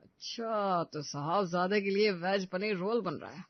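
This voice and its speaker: A man acts out a middle-aged Indian father in a moral story cartoon. His voice sits high, and he sounds caring and gently teasing. The sound is close, dry and slightly muffled. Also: unhurried, dry recording.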